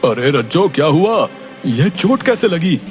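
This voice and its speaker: A man's voice: fairly high pitch, big pitch swings, a little slow, soft background music. A man voicing a stingy, exasperated village merchant in a cartoon story, scolding and blustering. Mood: concerned. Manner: scolding, blustering, questioning grunts. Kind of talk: Hindi cartoon story dialogue.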